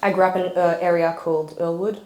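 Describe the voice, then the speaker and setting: A woman's voice: fairly low pitch, fairly even intonation, fast, dark tone. An Australian woman from Sydney, chatting and laughing as a podcast guest in a home kitchen. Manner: chatty, laughing, self-deprecating. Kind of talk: casual chat. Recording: roomy kitchen echo.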